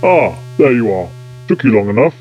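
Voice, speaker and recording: A man's voice: medium pitch, sing-song, moderate pace, lo-fi sound, close to an everyday voice. A man playing an eccentric, self-important time-travelling scientist in an audio drama, pompous and absent-minded. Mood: dry, superior.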